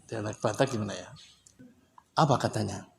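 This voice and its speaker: A man from Indonesia explaining a language point in a casual teaching manner, unhurried and in short phrases. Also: lowish voice, creaky. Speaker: English teacher.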